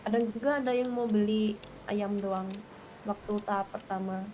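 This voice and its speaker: A woman's voice: medium pitch, steady tone, normal speed, dark tone, a little hiss or hum. A woman chatting casually and colloquially as a player in an online roleplay game.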